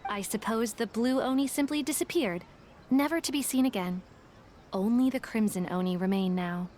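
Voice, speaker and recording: A woman playing a calm, shrewd young woman in a video game, speaking in a measured, matter-of-fact, dry way. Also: a little high, slight background noise, lightly acted. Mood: composed. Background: game music.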